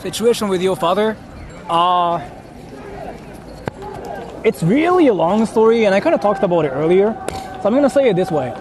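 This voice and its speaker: A man with a Japanese accent talks in a slightly high voice over light outdoor city noise. He rambles casually like a live streamer, informal and blunt as he chats with viewers. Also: very animated, normal speed, pauses often. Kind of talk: casual livestream chat, answering viewers. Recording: noisy outdoor street, traffic ambience. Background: urban ambience and vehicles.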